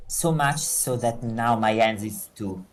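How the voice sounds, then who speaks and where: A woman reading a visual novel's dry, exasperated protagonist in an expressive storytelling manner. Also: rumbling low, a little slow. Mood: narrating, dry. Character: dry, exasperated narrator Hikaru, reluctant roommate.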